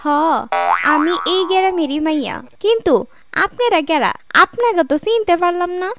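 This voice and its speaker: Woman acting feisty young village woman, suspicious, rural Bangladeshi dialect, squeaky-high pitch, comic cartoon. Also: lively intonation, normal speed.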